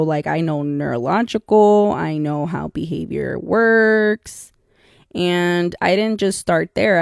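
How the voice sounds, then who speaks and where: A woman's voice: fairly low pitch, vocal fry, darkish tone, no room sound, studio quality. A woman whose first language was Spanish, co-hosting a podcast in a candid, chatty way and calling listeners "y'all". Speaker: podcast co-host. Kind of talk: casual solo podcast talk.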